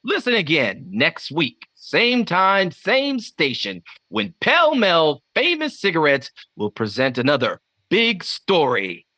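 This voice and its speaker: Man as a bright, deliberate vintage radio pitchman. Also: no echo, dull audio, full character voice. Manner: deliberate, portentous delivery. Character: smooth, portentous 1950 radio pitchman and announcer. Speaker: announcer. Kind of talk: 1950s radio advertising and announcing.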